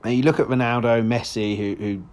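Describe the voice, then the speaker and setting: A man with a hoarse voice, hosting a solo football podcast in a rambling, casual way with lots of restarts. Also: mid-range voice, steady tone, a little fast, a little mellow. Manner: rambling, frequent restarts and fillers.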